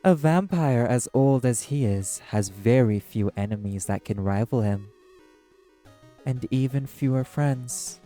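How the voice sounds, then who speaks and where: A man playing a proud, ancient arch-demon who runs a casino in an audio roleplay, with a haughty, measured, theatrical drawl. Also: mid-range voice, dark tone, soft background music, natural voice. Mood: menacing, curious. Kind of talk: scripted audio roleplay monologue.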